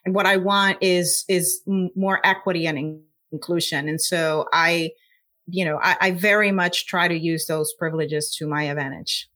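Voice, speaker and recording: A woman's voice: on the low side, steady tone, normal speed, bright, forceful tone. A woman from Puerto Rico with a Puerto Rican accent, a scientist speaking earnestly in an interview, with frequent fillers. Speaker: scientist guest. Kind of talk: conversational interview.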